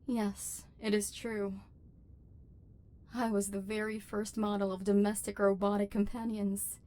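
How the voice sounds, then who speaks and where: A woman's voice: medium pitch, little pitch movement, unhurried, warm tone, natural voice. A woman voicing an embittered, lonely robot overlord in an ASMR roleplay, with formal, precise diction and hurt tenderness underneath. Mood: wounded, wistful. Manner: formal, precise, stilted diction with wounded feeling. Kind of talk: scripted ASMR roleplay acting.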